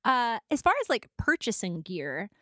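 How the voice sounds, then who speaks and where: A woman hosting a podcast interview, relaxed and encouraging, with quick affirmations. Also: fairly high pitch, dry recording, studio quality. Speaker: podcast host. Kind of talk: casual interview.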